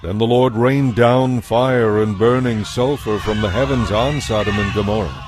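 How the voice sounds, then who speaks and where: A man's voice: mid-range voice, normal speed, background noise. A man giving scripted dramatic narration of a Bible story as the narrator.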